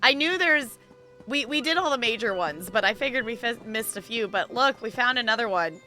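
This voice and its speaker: A woman's voice: a little high, expressive, a little fast, sharp tone, soft background music. A woman game streamer giving casual, chatty live commentary and reacting to the game for her viewers.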